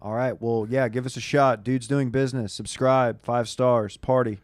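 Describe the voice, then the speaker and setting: A man's voice: mid-range voice, steady tone, normal speed, no echo. A man co-hosting a podcast, speaking in casual conversational banter. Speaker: podcast co-host.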